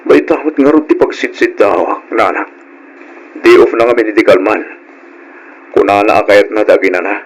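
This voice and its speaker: An actor playing a troubled, placating working husband in an Ilocano radio drama, on a noisy old recording. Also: male voice, fairly low pitch, lively intonation, moderate pace, pauses often. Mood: worried.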